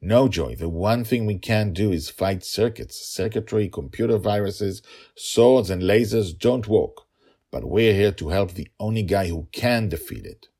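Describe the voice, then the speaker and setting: A man's voice: fairly low pitch, unhurried, warm tone, husky, voice pushed up. A man with a non-native English accent voicing a heroic pirate father who urgently coaches his little daughter in an emphatic, commanding way. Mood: urgent, instructive.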